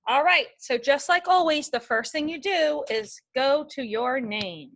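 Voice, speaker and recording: A woman's voice: high-pitched, lively intonation, unhurried, fairly bright tone. A woman teaching a remote class of young children, reading a picture book aloud and giving patient, step-by-step instructions. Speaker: elementary school teacher.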